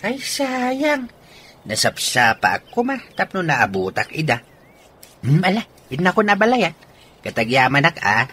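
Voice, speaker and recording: A man's voice: fairly high pitch, very animated, a little slow, sharp tone, breathy edge, exaggerated voice. A man playing a concerned in-law chatting in an Ilocano radio drama. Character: concerned male in-law chatting about the couple.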